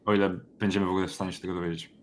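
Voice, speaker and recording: A man with a fairly low voice improvises dialogue in a tabletop role-playing game. Faint background noise sits behind his voice. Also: flat delivery, very fast.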